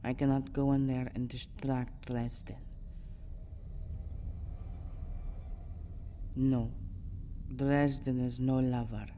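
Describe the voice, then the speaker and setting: A low, mellow female vampire, acted by a woman, seductive yet guarded, in an intimate ASMR roleplay. She speaks in formal, dramatic phrases and often drops to a breathy whisper. Faint noise sits behind the voice. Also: little pitch movement, measured pace. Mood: resigned, firm. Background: soft ambient sound.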